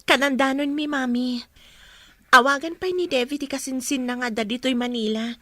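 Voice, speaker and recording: Woman acting a high-voiced young student. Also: moderate pace, a bit bright, dry recording. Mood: reassuring. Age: young adult. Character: dutiful young female student, reassuring but a bit fussy. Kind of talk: radio drama dialogue.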